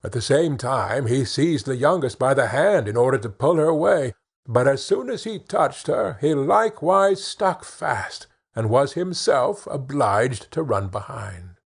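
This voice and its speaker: A man narrating a children's fairy tale in an expressive storytelling manner, with measured phrasing. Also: mid-range voice, gravelly, husky. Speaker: audiobook narrator.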